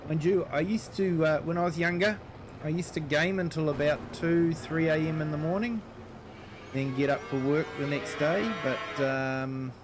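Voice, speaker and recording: A man's voice: fairly high pitch, fairly even intonation, normal speed, noisy background. A middle-aged man with a New Zealand accent, chatting casually with viewers while streaming a farming game and greeting them by name. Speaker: gaming streamer. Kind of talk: casual live-stream chat while playing.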